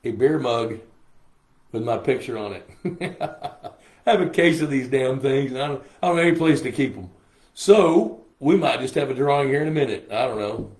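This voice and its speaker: Older guy, hoarse, rambling folksy livestream chat in an echoey room. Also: mid-range voice, moderate pace, darkish tone. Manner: chatty, folksy, reads viewer comments aloud. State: hoarse from a lingering cough. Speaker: host. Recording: roomy echo on a live stream.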